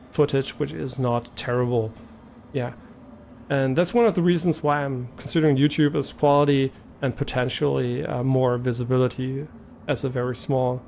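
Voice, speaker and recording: A man's voice: mid-range voice, creaky, a little mellow, a little hiss or hum. A man from Germany, a native German speaker with a German accent, chatting casually and a bit hesitantly to viewers as a live streamer, thinking aloud as he goes.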